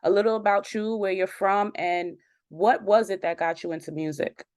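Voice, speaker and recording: A woman hosting an interview in an upbeat way, repeating words and filling pauses with "you know". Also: mid-range voice, fairly even intonation, darkish tone, clean tone, no room sound, studio quality. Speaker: interview host.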